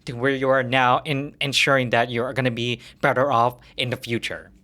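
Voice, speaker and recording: A male interviewer with a bright, clear-cut edge to a medium-pitched voice. He chats informally as a host, feeling out his questions and rewording them as he speaks. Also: level tone, brisk. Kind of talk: casual interview chat.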